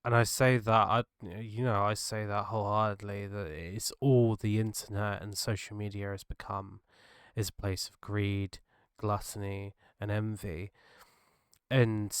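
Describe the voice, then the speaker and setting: A British man hosting his own podcast, talking earnestly and haltingly, his voice gravelly from a cold. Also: lowish voice, dry recording, studio quality. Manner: earnest, halting, restarts mid-thought. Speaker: podcast host. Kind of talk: solo reflective podcast monologue.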